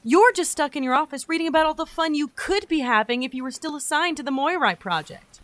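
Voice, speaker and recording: A woman's voice: high voice, expressive, brisk, no echo. A woman playing a brash, inquisitive young field agent in an audio drama, talking informally and with animation. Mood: defensive, irked.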